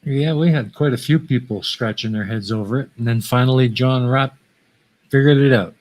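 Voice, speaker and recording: A man's voice: medium pitch, normal speed. Easygoing man with a Canadian accent. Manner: easygoing, short agreeing replies, laughs. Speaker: co-host of a livestream talk show. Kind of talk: casual chat.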